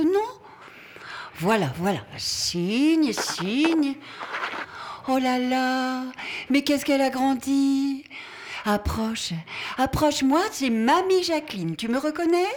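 A woman playing an older, fussy grandmother in an audio drama, effusive and cooing as she chatters to her family. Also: sits high.

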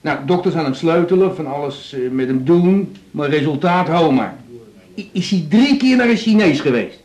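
An actor plays a pestering working-class man in a hospital, in a roomy, echoing radio play. His voice sits fairly high, and he stammers and pleads with naive insistence. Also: animated, moderate pace, a little mellow, lightly acted. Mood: pleading, persuasive. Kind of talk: radio drama acting.

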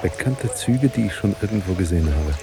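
A man's voice: sits low, expressive, a little fast, creaky. Wry, brooding man over music. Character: frustrated, brooding, self-mocking journalist. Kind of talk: German radio drama acting.

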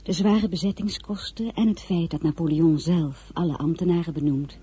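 A woman playing a spirited, proud and headstrong young merchant's daughter in a radio drama, with formal, expressive delivery. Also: on the low side, normal speed. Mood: narrating. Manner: crisp formal refusals, indignant and expressive. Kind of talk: radio drama acting with first-person narration.

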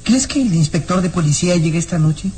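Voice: medium pitch, expressive, brisk, darkish tone, slight background noise, close to an everyday voice. A performer playing a curious, nervous young boy sidekick in a radio serial, with an uneasy, questioning delivery. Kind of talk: dramatic radio serial acting.

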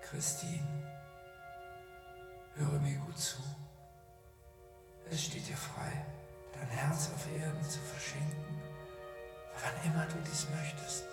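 Possessive angelic phantom, grave hushed delivery, distant and reverberant, swelling music behind, radio play. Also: medium pitch, level tone, gravelly. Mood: grave, warning. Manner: slow, solemn, hushed. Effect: heavy reverb. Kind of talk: German radio drama acting.

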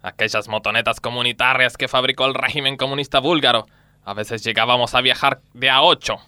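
A man voicing an excitable, chatty immigrant woman in a radio comedy sketch, with an Argentine accent. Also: mid-range voice, brisk, smooth, no echo, studio quality. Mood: nostalgic.

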